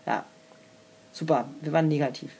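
A woman talking in a relaxed, blunt way, dropping swear words and teasing her friend. Her voice sits a little lower than most women's. A faint hiss of noise runs underneath. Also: normal speed, gravelly. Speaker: coach and organizer. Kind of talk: casual podcast chat.

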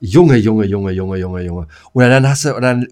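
A man's voice: fairly low pitch, normal speed, a little mellow. A middle-aged man chatting as a podcast co-host, telling stories in coarse, slangy, exasperated fashion. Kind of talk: casual chat, storytelling.

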